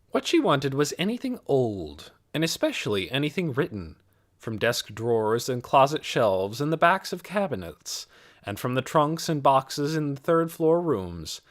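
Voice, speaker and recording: A narrator reading a novel aloud as scripted audiobook narration. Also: medium pitch.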